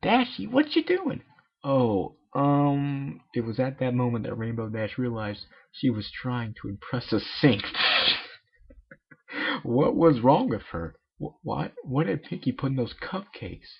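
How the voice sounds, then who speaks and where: A man's voice: mid-range voice, a little slow, creaky, breathy edge. A man reading fan fiction aloud in an informal, irreverent way with joking asides, on a muffled home recording.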